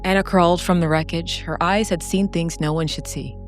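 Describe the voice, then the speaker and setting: A woman narrating a scripted, dramatic solo role-playing story in a solemn, deliberate way with short phrases. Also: fairly low pitch, steady tone. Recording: background music under the voice.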